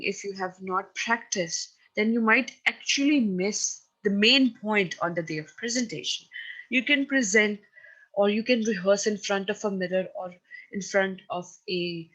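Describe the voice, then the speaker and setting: A woman's voice: mid-range voice, fairly bright tone, rough recording. A woman with a Pakistani accent teaching a recorded lesson, speaking in an instructive, emphatic way and often checking that her listeners follow. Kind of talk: recorded lecture on presentation skills.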